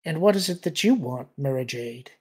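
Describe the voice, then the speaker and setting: A man's voice: mid-range voice, expressive, normal speed, a little mellow, slightly rough. A man voicing a young winged alien creature in an audiobook, anxious and earnest, with formal phrasing.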